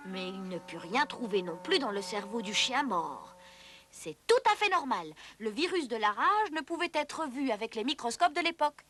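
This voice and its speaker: A woman's voice: high voice, bright, forceful tone. A woman reading scripted narration for an educational cartoon in an explanatory, storytelling manner.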